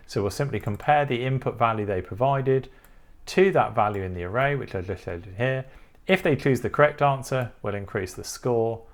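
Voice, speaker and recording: A man with a mid-pitched voice presents an informal electronics tutorial. He talks methodically, explaining each step in turn as the host of the channel.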